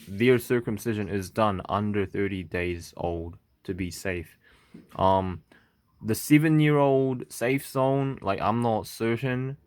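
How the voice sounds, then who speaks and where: A man from South Korea speaking English with a Korean accent, talking to camera in a halting, stuttering way with many fillers and "okay?" checks. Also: fairly low pitch, no echo. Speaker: vlogger. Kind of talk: unscripted monologue to camera.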